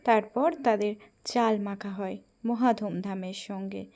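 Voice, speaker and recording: A woman's voice: mid-range voice, steady tone, a little slow, mellow. A woman storyteller reading scripted narration for a children's story.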